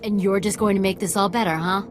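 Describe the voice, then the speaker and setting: An actress playing a blunt, sardonic, aggrieved quartermaster in a sci-fi video game. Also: female voice, medium pitch, steady tone, fast, faint music behind, lightly acted. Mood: sarcastic, bitter. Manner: sarcastic, blunt. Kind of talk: video game dialogue acting.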